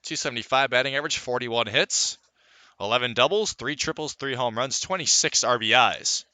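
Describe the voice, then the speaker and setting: Bright, forceful male baseball play-by-play announcer. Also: medium pitch, normal speed, dry recording. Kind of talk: live sports play-by-play commentary.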